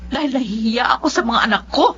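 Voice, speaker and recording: A woman's voice: fairly high pitch, fast, natural voice. A Filipino woman acting in a radio drama as a stubborn, emotional middle-aged mother who is in love. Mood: reproachful. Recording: dull lo-fi audio under clear music.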